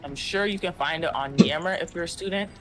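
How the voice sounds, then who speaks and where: Guy hosting a stream, bit high voice, chatty, um-heavy, music playing behind. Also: fairly quick, fairly bright tone. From United States. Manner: upbeat, thanks viewers by name, frequent ums. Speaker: university esports stream host. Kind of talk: live stream chat, reading viewer comments. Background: background music.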